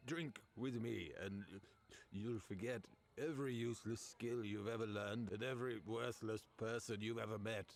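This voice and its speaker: A small, grubby drunken fairy man from a fantasy game, played by a male actor. The voice sits on the low side, slurred and coaxing, with a pushy brawler's temper. There is faint noise behind it. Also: steady tone, normal speed, close to an everyday voice. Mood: drunkenly coaxing. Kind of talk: video game character acting.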